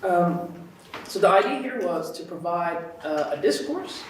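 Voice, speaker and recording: Echoey, higher-pitched male lecturer from Kuwait. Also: lively intonation, unhurried. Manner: conversational, frequently checks in with "right?". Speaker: lecturer, university professor teaching a course. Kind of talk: informal classroom lecture. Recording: very echoey room, distant mic, faint noise.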